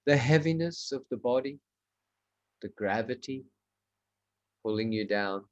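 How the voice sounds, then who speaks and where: Slow-paced man guiding meditation. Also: medium pitch, lively intonation, lo-fi sound. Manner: measured, instructional, with spaced short phrases. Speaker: meditation teacher. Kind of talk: guided meditation.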